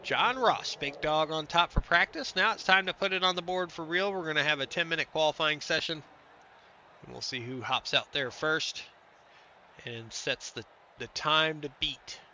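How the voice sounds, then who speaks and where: A man's voice: on the high side, normal speed, pushed and bright, dull audio, slight background noise. A man with a stuffy, nasal voice from a cold, doing chatty play-by-play commentary for an online race broadcast and reading out lap times. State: congested, under the weather. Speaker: sim racing commentator.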